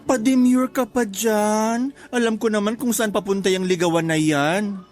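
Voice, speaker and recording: An actor voicing a woman who is a teasing, blunt office friend, with exaggerated, drawn-out delivery in a radio drama. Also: mid-range voice, fairly even intonation, darkish tone, natural voice. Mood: playful, teasing. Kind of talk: Tagalog radio drama dialogue.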